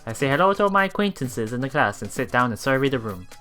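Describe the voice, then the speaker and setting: Male let's play streamer, lively pitch, bright tone, halting read-aloud narration, faint music behind. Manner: halting reading with repeats and self-corrections. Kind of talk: reading a visual novel aloud with light commentary. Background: soft game music.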